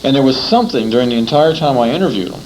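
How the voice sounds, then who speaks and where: An American man conducting a conversational interview, haltingly recounting details and repeating words as he goes. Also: medium pitch, lively intonation, a little mellow, a little hiss or hum, poor audio.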